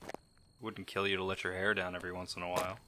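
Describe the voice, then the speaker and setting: A man's voice: deep voice, flat delivery, fast, pushed and bright, a little hiss or hum, rough recording. A man acting in an audio drama as an easygoing, flippant team member with a breezy, teasing manner. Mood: teasing, relaxed.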